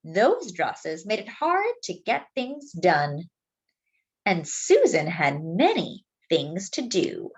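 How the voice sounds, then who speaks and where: A woman reads a picture book aloud expressively, explaining things simply and deliberately as if to young children. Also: fairly low pitch, huge pitch swings, highs cut off. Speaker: children's storybook reader.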